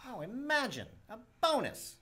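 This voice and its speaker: A woman acting in a radio play as an excitable, deferential male store manager. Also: a little high, normal speed, slight background noise. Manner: fussy, flustered, obsequious.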